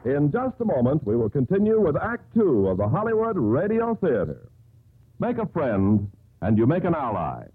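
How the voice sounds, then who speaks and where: A man's voice: a little high, huge pitch swings, normal speed, phone-quality sound, a little hiss or hum. An American man announcing in formal, earnest 1950s scripted radio style, on a thin old broadcast recording.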